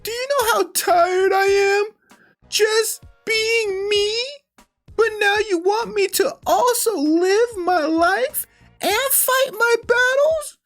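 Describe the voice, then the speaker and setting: A man voicing a whiny, sobbing young woman in a high, tearful, mocking imitation. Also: fairly bright tone, heavily acted. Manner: mocking exaggerated crying imitation.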